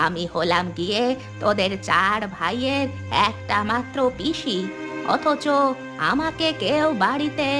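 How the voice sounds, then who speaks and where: Lady putting on old granny auntie from a village cartoon, slow drawn-out talk, plaintive but kindly, music behind. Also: fairly high pitch, bright, forceful tone. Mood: aggrieved. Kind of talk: Bengali cartoon dubbing.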